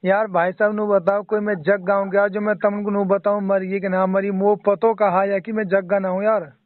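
A man with a Mewati accent talks in a high-pitched voice on a dull, dry phone recording. He is exasperated and emphatic, in a casual, chatty way. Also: little pitch movement, moderate pace, dry recording. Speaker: phone call participant. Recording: band-limited phone call recording.

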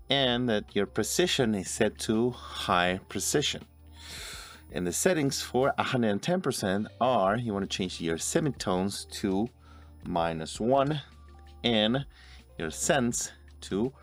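A man in his forties narrating a video editing tutorial step by step as host. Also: on the low side. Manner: step-by-step, instructional. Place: indoor room at a computer. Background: music.

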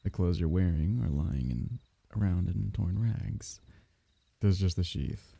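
Man playing a very dark, husky, soothing alien symbiote. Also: low voice, measured pace, no room sound, exaggerated voice. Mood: calm, explaining. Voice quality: husky, breathy, often dropping into whisper. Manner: soft, coaxing, intimate, reassuring. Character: possessive, soothing alien symbiote, male, semi-liquid creature. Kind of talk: ASMR roleplay.

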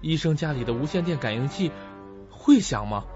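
A male actor plays a haunted man on the edge of madness in a suspense radio drama. His fairly high voice lurches up and down as he talks agitatedly to himself, spiteful and afraid. The recording is slightly dull, with music underneath. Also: animated, moderate pace, a little mellow, natural voice. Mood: anxious muttering. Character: terrified sleepless man unraveling into madness, spiteful.

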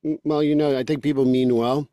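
A man's voice: mid-range voice, fairly even intonation, a little fast, dark tone, no room sound. A man from Quebec with a French first language and a Quebec French accent, hosting a podcast in a blunt, joking, opinionated way. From Quebec, Canada. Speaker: podcast host. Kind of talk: casual podcast conversation.

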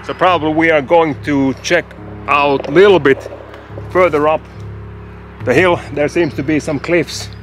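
Slow-talking man vlogging outdoors at arm's length. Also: fairly high pitch, steady tone, a bit bright, soft background music. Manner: conversational storytelling with fillers, spooky asides. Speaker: hiking vlogger. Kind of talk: outdoor vlog talking to camera. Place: outdoors in woods by a road and railway. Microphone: handheld camera at arm's length.

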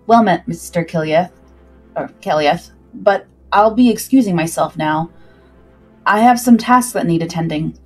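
Woman as a husky teenage pirate cabin boy. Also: lowish voice, a little slow. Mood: wary, polite. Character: sixteen-year-old pirate cabin boy, wary, loyal. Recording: music behind the voice.

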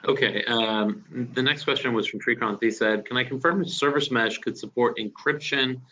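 A man's voice: mid-range voice, moderate pace, pushed and bright, slight background noise. A man moderating an online meetup, reading out audience questions in a brief, businesslike way. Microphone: video call. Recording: dull distant web meeting audio.

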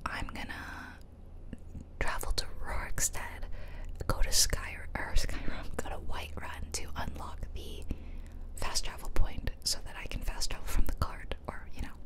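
A woman whispering casual, playful game commentary in a breathy ASMR style, close to the microphone. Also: measured pace. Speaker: gaming video host. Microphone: close ear-to-ear ASMR microphone.

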